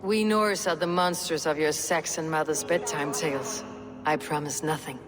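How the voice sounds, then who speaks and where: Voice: mid-range voice, fairly even intonation, moderate pace, big-room sound, crowd noise, natural voice. An actor voicing a terse, defiant Norse warrior.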